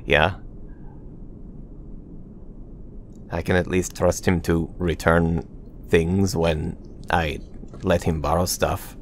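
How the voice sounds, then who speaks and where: A man playing a reserved warrior at bedtime in an ASMR roleplay, speaking in a hesitant, halting, awkward way. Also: low voice, fairly even intonation, a little hiss or hum. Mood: earnest.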